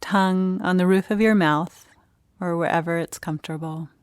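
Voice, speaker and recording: A woman leading a guided meditation, speaking in short, gentle phrases with unhurried pauses. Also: fairly low pitch, steady tone, clean tone, dry recording, clean studio sound.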